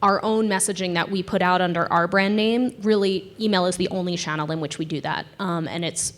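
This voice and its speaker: A woman speaking as a panelist at a marketing conference, explaining her business in a measured way with frequent ums. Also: fairly low pitch, fairly even intonation. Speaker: panelist, ticketing company director.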